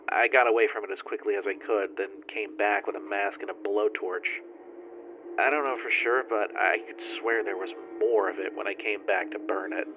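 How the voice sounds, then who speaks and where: A man playing a weary, bitter lone survivor, a spaceship flight engineer recording a log in an audio drama, brooding, halting and profane. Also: lowish voice, lightly acted. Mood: uneasy, disgusted. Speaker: solo roleplaying player-narrator. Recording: dull, noisy radio-log sound.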